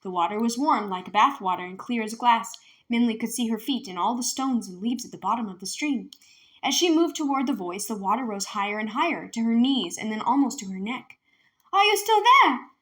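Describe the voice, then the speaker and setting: A woman's voice: a little high, a little fast. A woman reading a children's novel aloud as a storyteller, narrating from the page. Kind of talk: scripted children's book narration.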